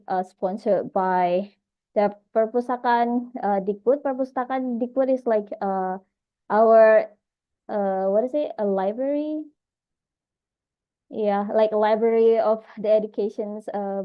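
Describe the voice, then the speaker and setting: Woman, Indonesian accent, informal online class host, halting delivery, dull lo-fi call audio, mid pitch. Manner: halting, searching for words. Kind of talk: informal announcements and hosting. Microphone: video call.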